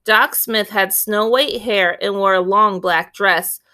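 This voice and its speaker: A woman reading a children's book aloud as an expressive storyteller, with deliberate, clear phrasing. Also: medium pitch.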